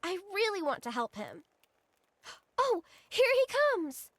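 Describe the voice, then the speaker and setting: A sweet little girl in a fantasy game, earnest and caring. The voice is very high and lilting, recorded dry and close. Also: measured pace, no echo, exaggerated voice. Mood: tender, determined. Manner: earnest, tender, expressive. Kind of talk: video game cutscene acting.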